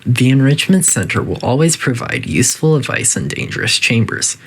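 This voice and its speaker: A man's voice: medium pitch, big pitch swings, fairly quick, gravelly, breathy edge, heavily acted. A man voicing a coolly polite, faintly menacing facility AI that gives formal, detached test-chamber announcements in a video game. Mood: clinical, detached.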